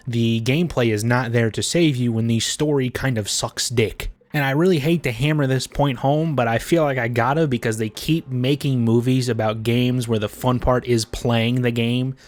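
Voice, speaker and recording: A man's voice: medium pitch, fairly even intonation, normal speed, hardly any pauses, a little mellow. A man giving casual, opinionated video commentary in a blunt, sarcastic, heavily profane way. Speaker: video essay host.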